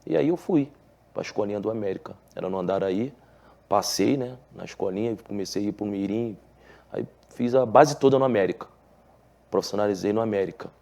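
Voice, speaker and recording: A man's voice: fairly low pitch, fairly quick, gravelly, mellow. A man with a Carioca accent, a former footballer telling his story in a TV interview, earnest, with many filler tags and restarts. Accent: Carioca Portuguese. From Rio de Janeiro, Brazil. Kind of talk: relaxed TV interview.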